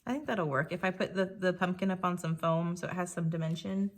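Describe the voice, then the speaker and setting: A woman with a slightly croaky voice, pitched a little low for a woman. She chats casually and thinks aloud as she works through a crafting project. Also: a little fast. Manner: chatty, thinking aloud while working. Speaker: scrapbooking creator.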